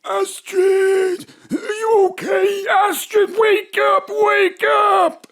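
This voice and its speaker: A woman playing an excitable, colloquial drinking companion in a comic audio drama. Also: extremely high pitch, very slow, full character voice. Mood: alarmed, scolding. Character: Bob, earthy excitable drinking companion at the pub.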